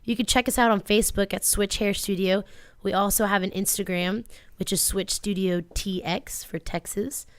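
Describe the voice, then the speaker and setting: A woman's voice: medium pitch, fairly even intonation, moderate pace, no room sound. A woman co-hosting a radio show in a casual, chatty style, close to a studio microphone. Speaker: radio show co-host and hairstylist.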